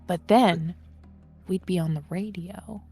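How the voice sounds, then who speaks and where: A woman's voice: fairly low pitch, steady tone, slow pace, warm tone. A woman bantering casually as a player in a tabletop roleplaying game. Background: faint music.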